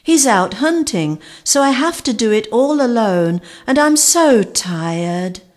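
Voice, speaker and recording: A weary cave woman moans about her chores in a tired, raised storybook voice, as a woman reader puts her on. She drags out her words slowly. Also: on the high side, lively intonation, pitched above the performer's own voice. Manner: tired, moaning.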